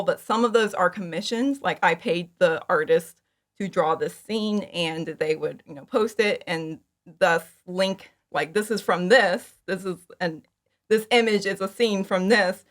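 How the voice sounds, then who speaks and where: A woman speaking as a guest in a casual interview, giggly, with animated asides and lots of "like". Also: fairly low pitch, a little slow. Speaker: podcast guest, fan audio drama creator.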